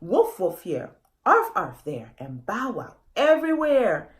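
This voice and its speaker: A woman voicing storybook animal families who bark out a search call in loud, rhythmic chanted words. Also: medium pitch, huge pitch swings, takes it slowly.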